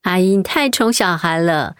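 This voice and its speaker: An actress playing a caring young mother in a radio drama, with a gentle, reassuring way of talking. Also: lowish voice, expressive, normal speed, smooth, dry recording, studio quality.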